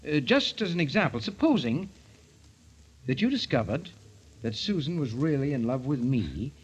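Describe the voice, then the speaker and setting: A man's voice: mid-range voice, very animated, normal speed, husky. An actor playing a suave, morbidly fascinated man in 1940s radio mystery style, urbane, theatrical and teasing. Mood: mocking, sinister. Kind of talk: 1940s radio mystery acting with first-person narration. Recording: dull old radio drama with music.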